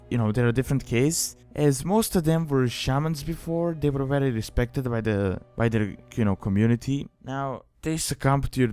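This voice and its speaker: A man's voice: medium pitch, warm tone, smooth. A young man narrating a gaming guide in an informal, rambling, conversational way. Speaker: video guide host. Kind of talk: casual explanatory gaming guide voice-over. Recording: music behind the voice. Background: background music.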